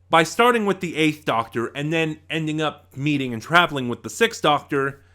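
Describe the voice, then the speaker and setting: A man's voice: medium pitch, bright, forceful tone. A man giving review commentary in an informal, explanatory way, correcting himself as he goes. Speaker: reviewer, channel host. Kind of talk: semi-scripted audio drama review commentary.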